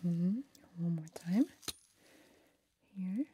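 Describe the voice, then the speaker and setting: A woman doing a gentle, reassuring ASMR roleplay as an ear examiner, mostly in a breathy whisper with short pauses. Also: on the low side, a little hiss or hum.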